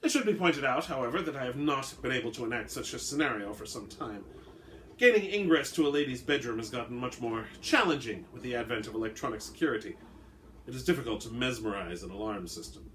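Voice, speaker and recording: A man's voice: mid-range voice, expressive, fast talker, fairly bright tone, a little hiss or hum, lightly acted. A man voicing a suave, haughty centuries-old vampire with formal, pompous phrasing, in a dramatic story reading. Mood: urbane, amused. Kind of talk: dramatic reading of an all-dialogue short story.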